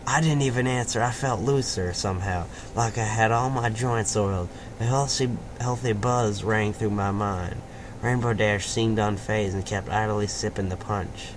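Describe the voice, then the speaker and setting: A man's voice: lowish voice, fairly even intonation, normal speed. A man narrating a story aloud in an informal, amateur way, sometimes stumbling over words. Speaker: fan fiction reader. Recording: clearly noisy amateur home recording.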